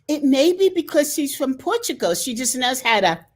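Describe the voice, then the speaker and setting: A woman hosting a live show, introducing her guest in a chatty, playful way. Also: high-pitched. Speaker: host.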